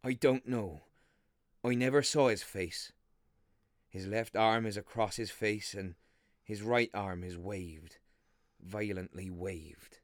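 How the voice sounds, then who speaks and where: An actor playing a troubled railway signalman in a radio ghost story, speaking gravely and haltingly with dramatic intensity. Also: fairly low pitch, no echo, clean studio sound. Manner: grave, halting, dramatic storytelling with hushed drops and anguished outbursts. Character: haunted, troubled railway signalman telling of a spectre. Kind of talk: radio play acting of a Victorian ghost story.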